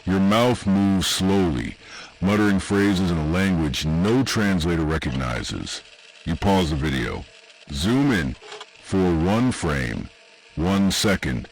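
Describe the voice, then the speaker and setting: Deep guy doing creepy horror narration, really slow and deliberate, bit of noise behind him. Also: animated, rough recording. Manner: ominous, deliberate, short dramatic phrases with pauses. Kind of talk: scripted second-person analog horror narration.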